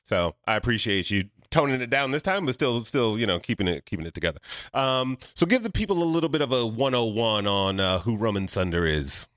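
A man's voice: fairly low pitch, expressive, brisk, hardly any pauses, fairly bright tone, dry recording. A man hosting a podcast in a playful, teasing way, joking and making silly sounds, with a close studio mic. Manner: jokey, makes silly sound effects, teasing. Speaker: podcast host. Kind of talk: playful casual interview chat.

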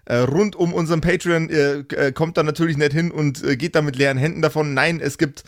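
A man's voice: fairly high pitch, fairly even intonation, brisk, no room sound, studio quality. A man hosting a podcast in a jokey, self-deprecating, rambling, casual way. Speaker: podcast host and game master. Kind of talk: casual, improvised outro chat.

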